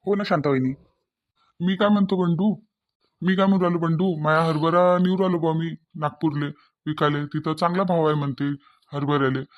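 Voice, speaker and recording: A practical cartoon farmer, played by a man, talks in a high-pitched male voice. His Varhadi Marathi accent is rustic, and his manner is folksy and conversational, often repeating himself. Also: fairly even intonation, a little fast, highs cut off, natural voice. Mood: neighbourly. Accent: Varhadi (Vidarbha) Marathi. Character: friendly village farmer, practical family man. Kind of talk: cartoon village dialogue.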